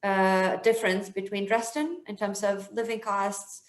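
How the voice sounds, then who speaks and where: Halting woman hosting a webinar, roomy sound. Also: medium pitch, little pitch movement. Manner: halting, with many um fillers. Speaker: webinar host. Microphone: video call. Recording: roomy video-call sound.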